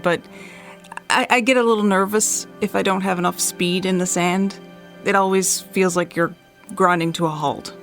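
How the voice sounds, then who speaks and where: An actress playing a cautious, uneasy woman who gives a measured, careful account in a horror audio drama. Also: mid-range voice. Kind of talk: first-person horror audio drama monologue.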